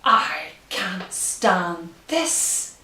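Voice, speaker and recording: A woman voicing a weary, exasperated father bear from a picture book, with drawn-out, dismayed delivery. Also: lowish voice, big pitch swings, pushed and bright, full character voice. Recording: roomy, distant, hissy home recording.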